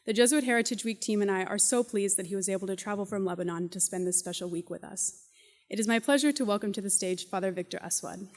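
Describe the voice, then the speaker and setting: A woman reads a guest's introduction at a quick clip. Her voice rings with a long hall reverb. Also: mid-range voice. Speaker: event host introducing speaker. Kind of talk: prepared introduction.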